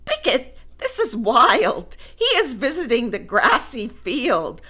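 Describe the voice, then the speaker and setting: A woman voicing a friendly plump male farm sheep, high and giggly. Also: very animated, slow, bright, forceful tone, breathy edge, full character voice. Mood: cheerful.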